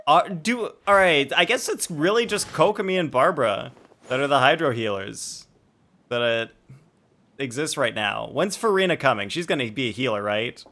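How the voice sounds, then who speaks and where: Bright, animated man streaming a game. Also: mid-range voice, moderate pace. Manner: rambling, thinking aloud. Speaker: video game livestreamer. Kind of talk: casual live gaming chat and commentary.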